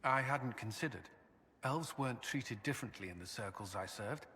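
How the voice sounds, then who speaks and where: Husky, forceful, troubled military commander. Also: male voice, mid-range voice, moderate pace, in a large hall. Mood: shy, tender. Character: earnest, troubled former templar military commander, adult man. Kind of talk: dramatic video game acting.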